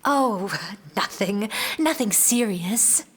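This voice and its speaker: A woman playing an anxious, guarded young noblewoman in a fantasy audio drama, speaking in measured, formal, earnest phrases. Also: mid-range voice, lively intonation, slight background noise. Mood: feigned calm.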